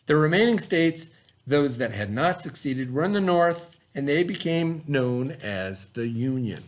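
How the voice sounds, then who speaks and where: A man's voice: a little high, animated, measured pace, a little hiss or hum. A man reading a lesson aloud as a teacher, explaining as he goes and checking understanding with simple questions.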